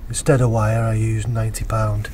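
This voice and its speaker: A man's voice: fairly low pitch, moderate pace, slight background noise. Chatty northern man outdoors. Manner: relaxed, chatty, plain-spoken. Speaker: angler showing a fishing rig. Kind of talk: casual how-to vlog. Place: outdoors by a lake. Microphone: camera mic.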